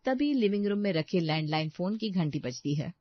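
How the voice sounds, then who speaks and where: A woman's voice: on the low side, lively intonation, moderate pace, no echo, highs cut off. A woman narrating a scripted bedtime-style moral story.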